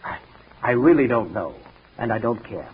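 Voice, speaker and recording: An actor playing an irritable, haughty, defensive gentleman suspect in 1940s radio mystery style, pompous and indignant. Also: on the low side, big pitch swings, normal speed, breathy edge, exaggerated voice. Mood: indignant, evasive. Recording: noisy, tinny 1940s radio recording.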